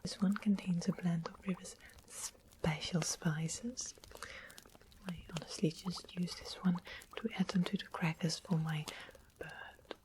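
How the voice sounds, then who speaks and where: A woman voicing a sweet, mischievous witch in an ASMR fantasy roleplay, in a breathy whisper with an unhurried, polite, coaxing manner. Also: fairly low pitch, steady tone, lightly acted, low-quality recording. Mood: softly informative. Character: sweet-smiled, mischievous witch of the west, softly sly.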